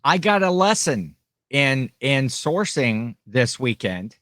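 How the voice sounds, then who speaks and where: A man's voice: medium pitch, big pitch swings, slow, a bit bright, no echo, clean studio sound. A middle-aged man co-hosting a podcast, telling a story in casual chat and acting out the people in it. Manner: storytelling, acts out quoted people. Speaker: podcast co-host.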